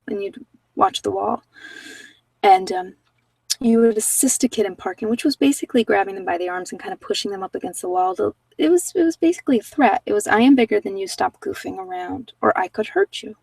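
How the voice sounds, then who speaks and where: Woman, mid-low pitch, casual tarot reader, informal conversational delivery, dry sound, a little away from the mic. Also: a little fast, creaky, darkish tone, no room sound, distant mic, poor audio. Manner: conversational, informal, anecdotal asides. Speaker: host giving tarot card readings. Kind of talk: casual chatty live tarot reading.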